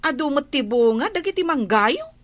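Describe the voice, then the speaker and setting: A woman's voice: high voice, big pitch swings, moderate pace. A woman with a Filipino Ilocano accent playing a greedy, complaining married woman in a radio drama, whiny and argumentative. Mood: demanding, whining. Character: greedy, complaining married daughter-in-law demanding a bigger share.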